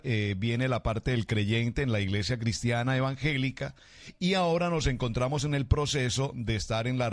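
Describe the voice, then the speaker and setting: A male pastor hosts a morning radio program, formal and effusive in greetings and quick to affirm with short interjections. His voice sits in a middling register for a man. The recording is dry and close. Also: mid-range voice, dry recording.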